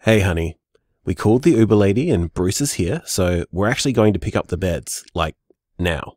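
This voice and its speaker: Loving male partner, deep pitch, casual, understated, conversational voicemail, audio drama, dry close sound. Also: expressive, brisk, darkish tone, no echo. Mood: casual, affectionate. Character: easygoing, loving man leaving voicemails for his partner. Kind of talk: intimate audio drama voice message.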